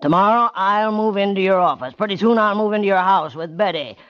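A taunting, high-pitched, vengeful American bookkeeper. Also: male voice, brisk, a bit bright. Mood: gloating, menacing. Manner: taunting, mocking, with sly chuckles. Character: bitter, vengeful wronged bookkeeper, quietly menacing man. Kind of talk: 1940s American radio drama acting. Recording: dull, lo-fi old radio recording.

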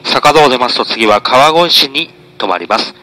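A man's voice: mid-range voice, expressive, normal speed, pushed and bright, low-quality recording. A man, a train conductor, making a formal, polite, routine live announcement on board.

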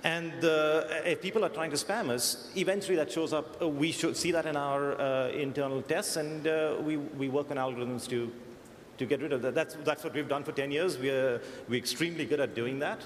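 A man's voice: a little high, moderate pace. A man with an accent that is part American and part Indian, speaking thoughtfully on a conference panel and restarting his phrases. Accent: mixed American and Indian. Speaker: search engineer panelist. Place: large conference hall. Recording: echoey conference hall, faint noise.

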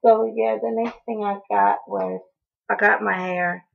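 A young woman with a hoarse, deeply gravelly voice talks through a casual haul video, full of ums and apologies for how she sounds. Her voice is husky and rough, and the thin, phone-like recording comes from a webcam close by in a small room. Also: fairly even intonation, a little slow. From North Carolina. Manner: chatty, apologetic, lots of ums, coughs now and then. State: hoarse from allergies. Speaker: beauty vlogger. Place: small indoor room, in front of a door. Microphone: webcam at close range.

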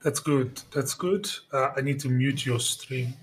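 A man's voice: mid-range voice, unhurried, slight background noise. A man chatting casually in a gaming voice call, with dry, teasing remarks. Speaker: friend in voice chat.

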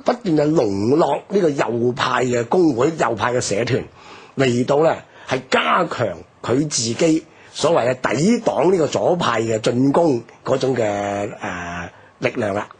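Husky, lively Hong Kong Cantonese man. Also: medium pitch, measured pace. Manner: explanatory, emphatic, with rhetorical questions. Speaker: discussion guest on history radio programme. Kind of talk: animated historical discussion.

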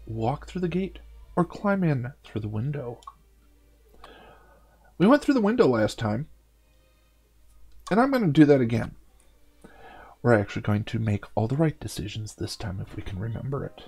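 Gravelly man narrating a storybook game. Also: medium pitch, dark tone. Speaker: gaming show host.

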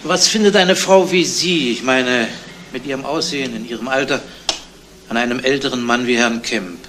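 A man's voice: mid-range voice, moderate pace, fairly bright tone, a little hiss or hum, lightly acted. An actor playing a police detective in a radio crime drama, matter-of-fact, politely probing with his questions. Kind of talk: 1970s German radio crime drama acting.

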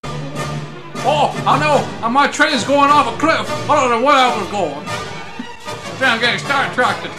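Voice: high voice, unhurried, long pauses. A performer playing an impulsive, rambling, goofy action hero in an improvised comedy game dub. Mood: alarmed. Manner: rambling, confused, exclaiming. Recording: music behind the voice.

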